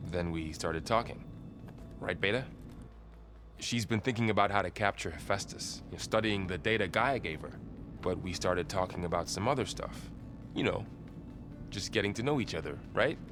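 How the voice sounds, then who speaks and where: Supportive young friend, played by a man: low, soft-spoken; game cutscene with score. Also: normal speed, close to an everyday voice. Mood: gentle. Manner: gentle, encouraging, conversational. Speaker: game character. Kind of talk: video game voice acting. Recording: game cutscene with music behind.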